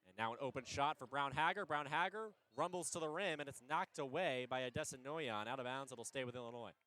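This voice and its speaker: A man giving live radio play-by-play of a basketball game in an energetic, descriptive way, lifting his voice on big plays. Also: mid-range voice, fast. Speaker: play-by-play radio sports announcer.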